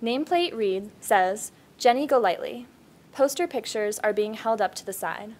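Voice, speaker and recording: Woman narrating a script at a table read, slightly high voice. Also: animated, normal speed, darkish tone. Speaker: stage-direction reader.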